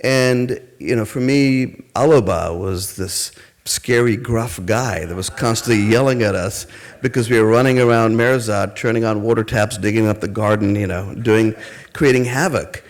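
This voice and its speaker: Middle-aged guy, lowish voice, telling stories about growing up, thoughtful. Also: normal speed. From India. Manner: reflective, storytelling, with fillers and soft laughs. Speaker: guest speaker. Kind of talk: personal reminiscence talk.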